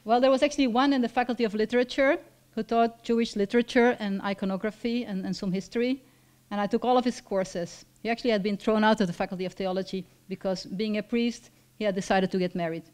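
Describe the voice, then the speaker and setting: A Flemish-accented woman in her fifties gives a personal talk at a lectern. She reads from her notes in a measured, reflective way and often pauses on an um. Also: mid-range voice, steady tone, darkish tone, clear, resonant tone. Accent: Flemish Belgian. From Belgium. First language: Dutch. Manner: reflective, measured, with frequent ums. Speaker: lecturer. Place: lecture hall stage at a lectern. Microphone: stand mic at a lectern.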